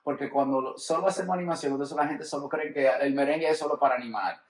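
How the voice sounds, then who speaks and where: A man from New York hosting a podcast, asking questions and telling anecdotes in a relaxed, conversational way. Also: mid-range voice, quick pace, roomy sound. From New York, United States. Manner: relaxed, conversational, acts out quotes. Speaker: podcast host. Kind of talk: casual podcast interview.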